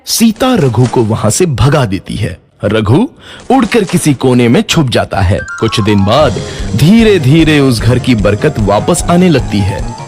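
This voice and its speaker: Lively male storyteller over soft music. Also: medium pitch, a little slow. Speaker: narrator. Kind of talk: scripted children's moral story narration.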